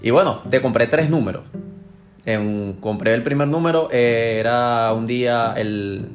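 A Venezuelan-accented man with a medium-pitched voice. He recounts things casually as a client being interviewed, over faint background music. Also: measured pace. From Maracaibo, Venezuela.

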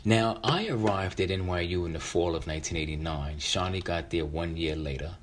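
Low-voiced middle-aged man telling a story. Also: fairly quick, slight background noise. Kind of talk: casual personal storytelling.

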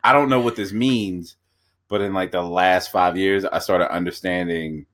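A man's voice: deep voice, normal speed. A man in his thirties co-hosting a podcast, joking and acting out little scenes in casual chat. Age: 30s. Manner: joking, animated, acts out bits. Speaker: podcast co-host.

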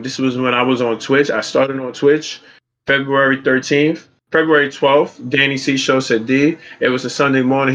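A man's voice: mid-range voice, pushed and bright. A man from Brooklyn with a New York accent, co-hosting a podcast in a hyped, slangy way with lots of fillers. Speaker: podcast co-host. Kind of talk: casual podcast chat. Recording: dull lo-fi mic.

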